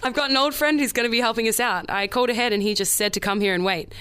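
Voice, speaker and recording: A woman's voice: fairly high pitch, racing, a bit bright, clear, resonant tone, natural voice. A woman playing a confident, persuasive schoolteacher in a noir radio play, with a casual, coaxing manner. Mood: assured.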